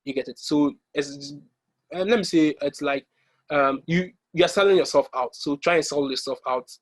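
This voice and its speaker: A young man from Ghana presenting an online exam-prep workshop over slides, pausing often with ums and restarting his sentences. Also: a little high. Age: young adult. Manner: hesitant, many ums and restarts.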